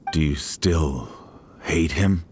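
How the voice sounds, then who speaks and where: Deep-voiced, remorseful man. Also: a little mellow, a little hiss or hum. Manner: halting, confessional, earnest. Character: remorseful grown man, gentle old family friend. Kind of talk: dramatic video game acting.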